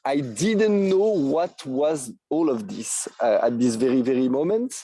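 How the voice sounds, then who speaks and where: A man in his thirties with a strong French accent and French as his first language, a podcast guest telling his story eagerly with many halting fillers. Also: medium pitch, expressive, dark tone, low-quality recording. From France. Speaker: guest, NFT project creator. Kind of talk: podcast interview.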